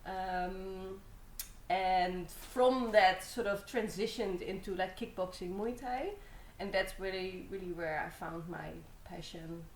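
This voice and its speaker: A young woman from the Netherlands, a Muay Thai fighter, telling her story in a relaxed, rambling way with many fillers. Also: medium pitch, slow pace, echoey room, a little hiss or hum, low-quality recording. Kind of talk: casual interview answers.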